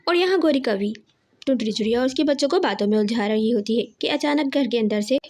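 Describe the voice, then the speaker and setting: A woman's voice: fairly high pitch, fast, a little mellow. A woman narrating a children's story in a scripted storytelling style.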